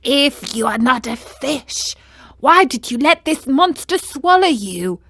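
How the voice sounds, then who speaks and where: Woman voicing a slow, bright-voiced resigned tunny. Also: sits high, sing-song, husky, no echo, studio quality, voice pushed up, exaggerated voice. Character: resigned, philosophical tunny fish.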